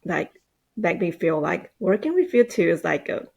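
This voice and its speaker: A woman, a Mandarin speaker, chatting on a podcast in halting, hesitant English. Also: on the low side, sing-song, vocal fry, darkish tone, slightly rough, highs cut off. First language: Mandarin Chinese. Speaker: podcast guest, team manager. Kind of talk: casual podcast conversation.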